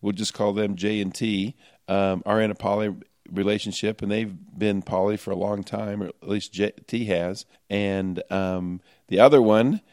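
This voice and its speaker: A man co-hosting a casual podcast from a bedroom, his voice hoarse, rambling with fillers. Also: on the low side, fairly even intonation, normal speed, darkish tone, no echo, clean studio sound. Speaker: podcast co-host. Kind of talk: casual chat. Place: bedroom in a rented house.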